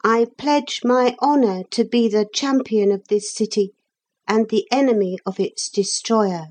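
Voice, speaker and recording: High voice, young boy hero, earnest, careful, storybook character, acted by a woman. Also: a little slow, a little mellow. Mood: solemn, earnest.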